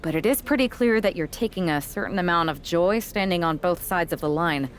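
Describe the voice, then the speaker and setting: A woman playing a persuasive wrestling team recruiter, speaking in a measured, pointed way in a video game cutscene. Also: on the low side, a little hiss or hum, close to an everyday voice.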